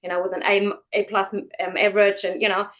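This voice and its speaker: A woman talks as a guest in a relaxed interview. She is chatty and loose, with many fillers and restarts. Her voice sits at a middle pitch. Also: a little fast, muffled recording. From Germany. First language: German. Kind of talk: casual interview.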